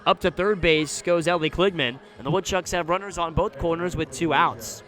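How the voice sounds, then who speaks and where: A man doing live radio play-by-play commentary of a baseball game, describing the action in a measured way that rises when the play picks up. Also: fairly high pitch, fairly bright tone. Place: live at an outdoor ballpark.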